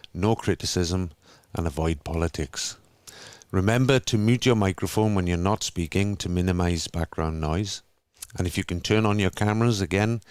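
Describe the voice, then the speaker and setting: A man co-hosting an online group, reading announcements and reminders in a measured, instructive way over a video call. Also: deep voice, smooth, dry recording.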